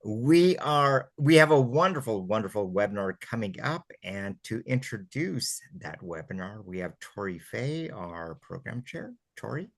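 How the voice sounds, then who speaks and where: A man's voice: on the low side, expressive, measured pace, gravelly, few pauses, dry recording. A man hosting a webinar, welcoming the audience enthusiastically on a video call. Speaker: webinar host.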